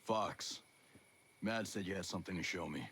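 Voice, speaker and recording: An actor playing a stern, guarded special forces operative in a video game, terse and serious. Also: male voice, deep voice, normal speed, a bit bright, slight background noise. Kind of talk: video game cutscene acting.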